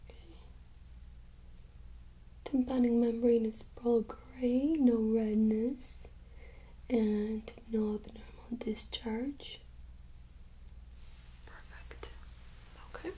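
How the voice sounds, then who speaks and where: A woman in her thirties speaking softly and often whispering, gently giving instructions as a nurse in an ASMR medical role-play. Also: on the high side, steady tone, very slow, pauses often. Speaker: ASMR performer playing a nurse examiner. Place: small indoor room set up as exam room.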